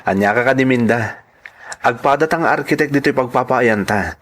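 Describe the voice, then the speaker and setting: A man's voice: mid-range voice, moderate pace, a bit bright, close to an everyday voice. A man acting as a modest young professional in an Ilocano radio-style drama. He comes across as a devoted, sincere youngest son. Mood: modest, friendly.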